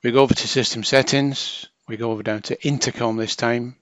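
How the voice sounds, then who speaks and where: A man's voice: mid-range voice, fairly even intonation, fairly quick, a bit bright, dry recording. A man narrating a hands-on technical tutorial as a trainer, explaining informally step by step.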